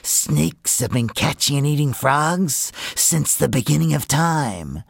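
Man voicing a harsh mother snake, commanding, slow, stern, storybook telling. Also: on the high side, lively intonation, creaky, husky, no room sound, studio quality, heavily acted.

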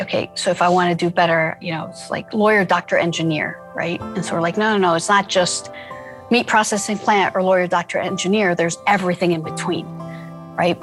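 Woman school founder recounting stories, low flat delivery, thoughtful pauses. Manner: earnest, reflective, with frequent fillers. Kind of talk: interview storytelling.

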